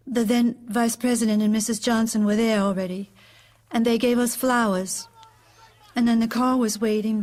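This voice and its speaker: A woman's voice: fairly high pitch, darkish tone, lightly acted. A woman playing a widowed First Lady giving hesitant, composed testimony in an audio drama. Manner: hesitant, halting, measured recollection.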